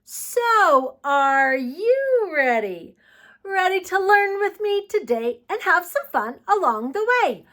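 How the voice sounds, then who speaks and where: A woman with a fairly high voice reads picture books to small children. Her delivery is unhurried and very animated, with sing-song phrasing and questions put straight to the young listeners. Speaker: children's storytime host.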